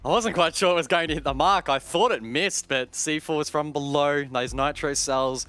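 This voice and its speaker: Steady analytical male esports caster. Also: a little high, moderate pace. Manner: steady, detailed tactical explanation with occasional self-corrections. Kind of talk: analytical esports color commentary.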